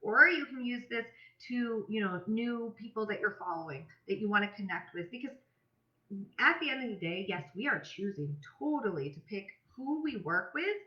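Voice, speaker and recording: A woman talking casually and emphatically to followers on a live stream, with informal slang, as a coach for a health and fitness business. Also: medium pitch. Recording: dull, roomy live-stream audio.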